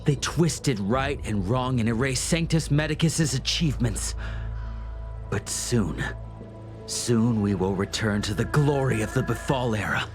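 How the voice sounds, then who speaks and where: A man's voice: mid-range voice, unhurried, natural voice. An actor playing a fanatical, unhinged cultist in a video game, gloating theatrically and savoring his words. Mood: zealous reverence. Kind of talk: video game character acting. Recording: music behind.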